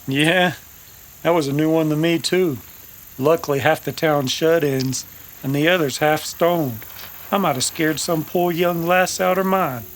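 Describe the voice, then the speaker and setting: A man's voice: fairly high pitch, a little slow, pitched above the performer's own voice, lightly acted. A man playing a vengeful, sardonic cowboy in an old-time western radio drama, with wry drawling banter. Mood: smug, wry. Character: vengeful, wry male cowboy tracking his nemesis.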